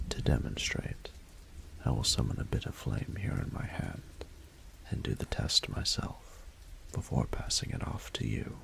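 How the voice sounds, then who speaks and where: Rumbling, gravelly dragon lord. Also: male voice, a bit bright, heavily acted. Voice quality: very deep, gravelly, breathy murmur. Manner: formal, unhurried, eloquent, courtly. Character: regal male dragon ruler, doting, patient, courtly mentor. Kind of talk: romantic audio roleplay addressed to the listener.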